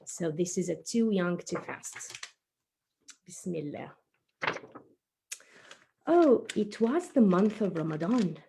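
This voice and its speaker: A woman's voice: on the low side, very animated, takes it slowly, vocal fry, long pauses, dark tone, slight background noise. A woman, a preschool teacher and storyteller who talks to children live with playful explanations and questions. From Egypt. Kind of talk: introducing herself and telling a children's story live.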